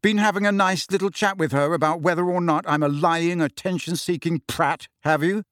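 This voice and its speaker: A man's voice: fairly high pitch, fairly even intonation, brisk, no echo, studio quality, voice pushed up, natural voice. Man reading as an angry, sarcastic teenage boy. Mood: accusing. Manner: loud, biting, sullen.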